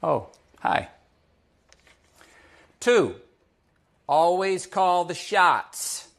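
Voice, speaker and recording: Man playing a creaky, cocky teen hustler. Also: sits high, very animated, extremely slow, exaggerated voice. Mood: cocky, persuasive. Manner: emphatic, showy, instructing. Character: cocky smooth-talking teenage boy, self-styled ladies' man. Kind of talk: comic screenplay table read.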